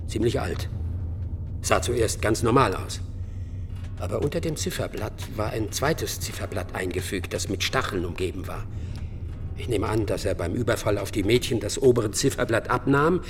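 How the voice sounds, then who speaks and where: A man's voice: fairly low pitch, normal speed, in a large hall, noisy background, close to an everyday voice. An actor playing a defensive, bitter middle-aged bar owner in a German crime radio play, evasive and coarse as he justifies himself under questioning. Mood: reluctant. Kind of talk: German radio drama acting.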